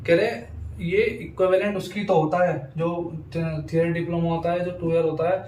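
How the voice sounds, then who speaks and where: A man's voice: fairly high pitch, fairly even intonation, moderate pace, a little mellow. A young man talking informally to students as a YouTuber, insistent and repeating himself with frequent verbal tags. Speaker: education YouTuber. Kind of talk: informal talk to camera for students. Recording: roomy echo, distant mic, faint noise.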